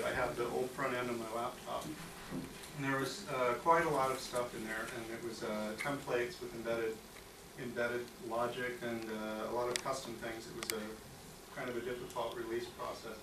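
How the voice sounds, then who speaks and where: A man from the United States giving a relaxed, chatty talk at a tech meetup, with fillers and small laughs. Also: mid-range voice. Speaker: conference speaker. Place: meeting room at a tech office. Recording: echoey room, distant mic, noisy.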